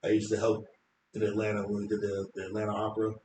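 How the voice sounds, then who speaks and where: A man with a deep voice, a hairstylist guest explaining his craft at length in a confident, talkative way. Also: completely flat delivery, quick pace, roomy sound, crowd noise. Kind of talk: casual interview chat.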